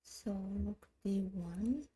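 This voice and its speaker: A woman in her thirties from Armenia, who speaks Armenian natively, thinking aloud in a slow, halting way while she plays online chess. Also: mid-range voice, mellow. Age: 30s. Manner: slow, halting, muttering moves half to herself. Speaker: chess streamer.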